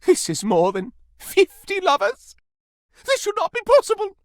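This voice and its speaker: A man voicing a flamboyant, lustful antique candelabra in a video game, with a pompous, florid, melodramatic delivery full of breathy swoons and purrs. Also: very high-pitched, wildly swooping pitch, normal speed, no room sound, studio quality, heavily acted. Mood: scandalized delight. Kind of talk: video game character acting.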